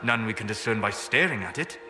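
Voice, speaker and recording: An actor playing a grave elven scholar in a fantasy game, his fairly low voice unhurried and dry. He weighs each word with quiet solemnity over a clear music bed. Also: lively intonation, natural voice.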